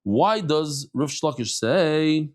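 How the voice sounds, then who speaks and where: A middle-aged man teaching a casual daily Talmud class in a lively, chatty way, mixing in Hebrew and Yiddish terms. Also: fairly high pitch, slow pace.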